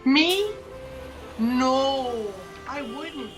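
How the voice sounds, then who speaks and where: High-voiced anxious young boy, played by a woman. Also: animated, very slow, over music, heavily acted. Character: anxious, polite young boy running an errand. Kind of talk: theatrical radio play acting. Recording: noisy streamed radio play.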